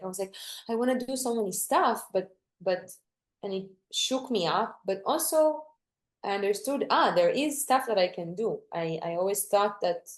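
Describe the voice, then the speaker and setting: A young woman from Greece, a dancer, talking reflectively in an interview, often restarting and searching for words. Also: mid-range voice. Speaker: guest dancer.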